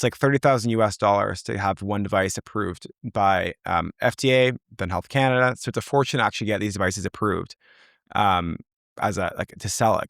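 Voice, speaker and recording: A young man with a low, gravelly voice talks in a loose, rambling way, full of fillers and restarts. He sounds close and dry on a podcast mic. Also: fairly bright tone, no echo. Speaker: company founder, guest. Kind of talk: casual interview talk.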